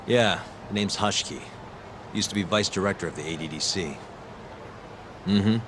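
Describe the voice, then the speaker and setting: Deep-voiced, cool investigator played by a man. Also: normal speed, background noise, natural voice. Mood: cool, direct. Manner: casual, direct. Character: cool, plain-spoken male investigator, sharp and direct. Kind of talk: naturalistic video game cutscene acting. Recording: music behind the voice. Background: background music.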